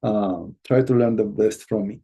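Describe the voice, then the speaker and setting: A man from Romania with a Romanian accent, teaching an online course as a business coach and speaking in a halting way with many fillers and restarts. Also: fairly low pitch, creaky, mellow, breathy edge.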